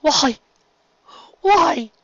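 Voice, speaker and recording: Lady doing a posh-mannered lady pony, polite n dramatic, high lilting voice, storybook style, bit lo-fi. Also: lively intonation, very slow, no echo, full character voice. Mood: aghast. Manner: genteel, formal, polite phrasing. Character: refined, ladylike young mare, courteous and dramatic. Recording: slightly dull, lo-fi recording.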